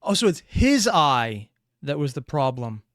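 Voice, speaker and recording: A man's voice: on the high side, sing-song, a little slow, fairly bright tone, soft background music. A man giving off-the-cuff analytical commentary as a reaction video host, musing and thinking aloud.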